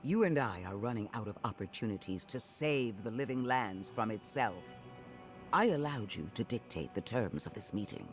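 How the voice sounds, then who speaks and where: A man's voice: lowish voice, expressive, moderate pace, soft background music, lightly acted. Man acting a stern, menacing military commander, swooping delivery. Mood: bitter, threatening. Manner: formal, menacing, oratorical. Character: stern, contemptuous male military commander, threatening and self-righteous. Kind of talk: dramatic game cutscene acting.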